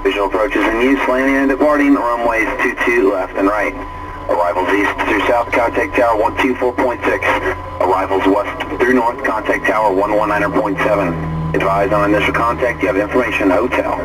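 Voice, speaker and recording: A man reading a recorded airport information broadcast in a flat, formulaic way. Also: fairly low pitch, brisk. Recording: distant noisy radio broadcast.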